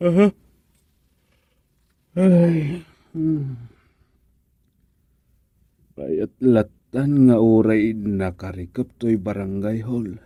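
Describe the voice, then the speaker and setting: Guy doing a sleepy ilocano village man, mellow and unhurried, sighs a lot, radio drama, dry sound. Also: lowish voice, no room sound. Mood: drowsy, musing. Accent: Filipino, Ilocano.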